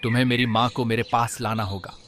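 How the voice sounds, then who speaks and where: A man voicing a calm, stern talking rabbit who is a reborn saint, in a solemn, measured way for a Hindi cartoon. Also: medium pitch, slight background noise, lightly acted. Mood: solemn, commanding. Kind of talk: Hindi animated moral story dialogue.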